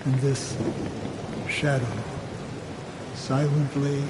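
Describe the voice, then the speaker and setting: An actor playing a calm, cryptic sage in a video game, giving warnings in a grave, deliberate way. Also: male voice, medium pitch. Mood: grave, cautioning. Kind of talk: measured video game voice acting. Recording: rain and thunder noise behind.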